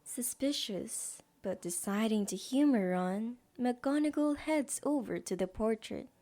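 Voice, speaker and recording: A woman's voice: fairly high pitch, animated, a little slow, dark tone. A woman narrating a scripted story for an audio drama.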